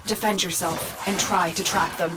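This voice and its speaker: A woman's voice: fairly low pitch, steady tone, normal speed, lightly acted. Woman acting a composed mission guide, heavy noise. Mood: commanding. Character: composed female mission guide advising a soldier. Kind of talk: video game mission briefing.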